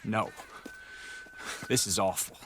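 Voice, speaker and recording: Earnest, low-voiced army captain. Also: male voice, slow, slight background noise. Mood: frustrated, resigned. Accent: American. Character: earnest, cautious, principled young army captain in the Old West. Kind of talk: dramatic video game acting.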